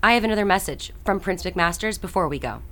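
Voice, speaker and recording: A woman's voice: fairly low pitch, animated, fast, bright, forceful tone. A woman playing a vampire prince's businesslike messenger in an audio drama, speaking in a curt, formal way. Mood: curt, stern.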